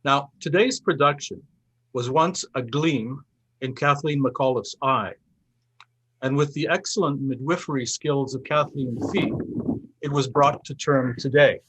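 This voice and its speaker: A man with a husky, mid-pitched voice speaks as the host of a cultural festival. He reads prepared closing remarks in a formal, measured manner, phrasing them with a literary flourish. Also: fairly bright tone, poor audio. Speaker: host giving closing thanks at a festival event.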